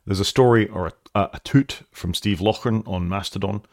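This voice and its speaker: A man's voice: on the low side, normal speed, gravelly, a little mellow, no echo. A man hosting a solo tech news podcast, chatting casually with dry asides and frequent self-corrections. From Wales. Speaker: podcast host.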